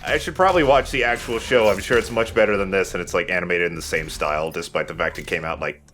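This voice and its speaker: A man's voice: low-pitched, fast talker, a bit bright, a little hiss or hum. A man giving casual commentary while he plays a video game, speaking as the host of a let's play. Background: game music.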